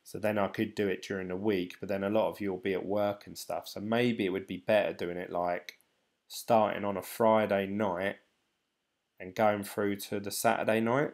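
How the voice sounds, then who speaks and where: A British man in his thirties, a channel host, chatting casually and hesitantly to viewers on a late-night live stream, tired and a little croaky. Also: fairly low pitch, flat delivery, normal speed. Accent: British English. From United Kingdom. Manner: rambling, hesitant, many ums and restarts. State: tired, voice getting croaky and dry. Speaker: crypto channel host reading live chat.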